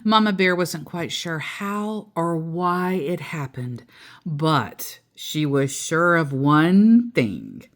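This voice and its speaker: A woman storyteller reading a children's picture book aloud expressively, drawing out key words and adding playful asides. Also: sits low. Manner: animated, drawn-out emphasis with playful asides.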